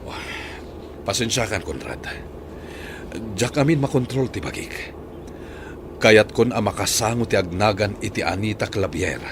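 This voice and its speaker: A man's voice: on the low side, expressive, unhurried, pauses often. An actor playing an impatient, emotional, wealthy father in a radio drama, speaking with commanding urgency. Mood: agitated. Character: impatient, emotional wealthy father searching out the truth about his son. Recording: noisy radio drama track.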